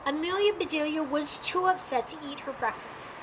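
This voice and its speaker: A woman reading a children's picture book aloud in an animated storytime manner, acting out dialogue and sound words. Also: high-pitched, normal speed. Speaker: storytime reader. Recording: clearly noisy background.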